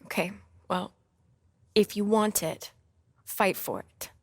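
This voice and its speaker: A woman's voice: medium pitch, steady tone, takes it slowly, dry recording, studio quality. A woman playing an athlete's supportive but insistent young girlfriend in a video game scene, earnest and natural in delivery. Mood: urging. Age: young adult.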